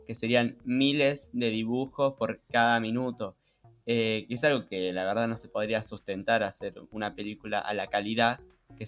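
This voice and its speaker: A man's voice: medium pitch, a bit bright. Male co-host for a chatty podcast, Rioplatense Spanish accent, mid-range voice, conversational delivery, light background music. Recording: music behind.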